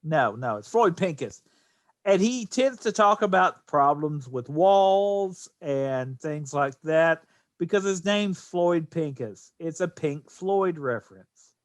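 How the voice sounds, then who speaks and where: A man's voice: a little high, lively intonation, takes it slowly, no echo, studio quality. A middle-aged man chatting casually and opinionatedly about films as a podcast co-host, rambling as he goes. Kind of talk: casual film chat.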